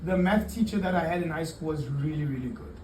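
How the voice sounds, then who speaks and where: A man's voice: on the high side, expressive, fast, darkish tone. A middle-aged man who works as a teacher, giving casual answers as an interview guest in a roomy, noisy recording from a distant mic. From Zimbabwe-born, raised in the UK and South Africa.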